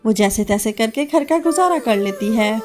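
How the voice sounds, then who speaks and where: A woman's voice: on the high side, lively intonation, moderate pace, over music. A woman giving scripted narration for a children's cartoon story.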